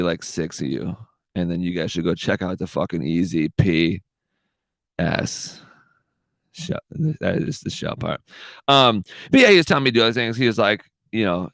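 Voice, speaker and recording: A man's voice: on the low side, no echo. A young man hosting his own podcast, rambling in a laid-back, profane way and saying dude a lot, into a podcast microphone. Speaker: podcast host. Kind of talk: rambling casual monologue. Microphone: studio podcast microphone.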